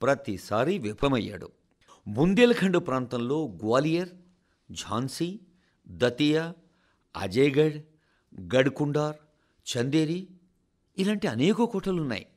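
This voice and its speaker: Man delivering an animated, solemn radio address. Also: a little high. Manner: solemn, oratorical, measured phrasing with dramatic pauses. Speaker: radio reader of a translated national address. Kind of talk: scripted formal radio address.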